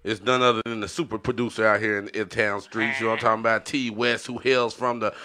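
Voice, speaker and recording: A man's voice: fairly low pitch, level tone, fast, bright, forceful tone. A man hosting a podcast with casual, slangy banter and hyped, emphatic delivery. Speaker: podcast host.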